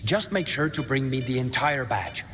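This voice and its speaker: A man's voice: mid-range voice, lively intonation, moderate pace, a bit bright, close to an everyday voice. Guy playing eager cocky scientist in a video game, insistent, bit of music behind him. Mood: confident, intent. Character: eager, self-assured male scientist plotting a theory.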